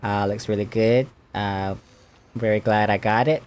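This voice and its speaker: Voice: medium pitch, fairly even intonation, measured pace, smooth. A video game streamer giving casual, informal gameplay commentary, with reactive asides and dialogue choices read aloud.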